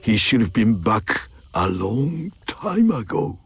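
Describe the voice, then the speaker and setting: A man voicing an ancient ninja master who narrates a game's story in a theatrical storybook manner, wise, fond and wistful, with measured dramatic pauses. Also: lowish voice, big pitch swings. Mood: fond, reflective. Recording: music behind the voice. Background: music.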